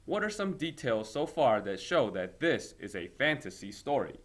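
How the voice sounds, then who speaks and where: A man reading a story aloud as a teacher, in an expressive lesson style. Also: mid-range voice, normal speed.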